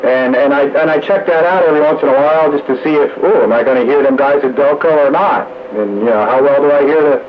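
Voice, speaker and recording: A man's voice: a little high, fast talker, few pauses, a crowd in the background. A man chatting casually as a regular on an amateur radio net, heard over a two-way radio. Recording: noisy, muffled two-way radio.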